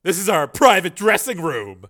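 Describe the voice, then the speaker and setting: A sly redheaded showgirl who purrs her lines up high and keeps everything light and teasing, as a male narrator puts her on. Her voice is bright and lively, bouncing across a wide range. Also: moderate pace, exaggerated voice. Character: sultry wisecracking redheaded showgirl, woman.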